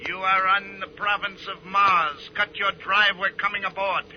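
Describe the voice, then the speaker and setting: A man's voice: high voice, flat delivery, unhurried. A man playing a hostile Martian patrol officer barking curt, threatening commands in an old-time radio serial. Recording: dull, faintly noisy old radio recording.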